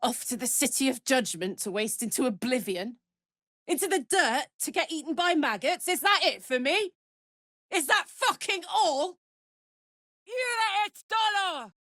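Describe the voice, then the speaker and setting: A woman's voice: sits high, animated, unhurried, fairly bright tone, no echo, clean studio sound. A woman voicing a brash, big-hearted, hot-tempered warrior in a fantasy video game, speaking bluntly, informally and with open emotion. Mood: anguished, angry, then casting spells.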